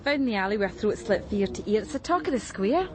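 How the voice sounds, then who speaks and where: Actress as a quick, blunt Scottish streetwalker. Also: female voice, medium pitch, expressive, crowd noise, natural voice. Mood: gossipy. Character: blunt, streetwise Glasgow female prostitute, gossipy. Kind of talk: radio crime drama acting. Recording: noisy street background.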